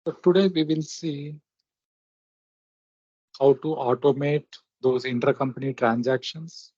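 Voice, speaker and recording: A man with a mellow voice presents a software walkthrough at a slow pace. He goes step by step in short, halting phrases. The recording is dry and close. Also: medium pitch, husky, no room sound. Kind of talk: step-by-step screen demonstration narration. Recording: dull, lo-fi close microphone recording.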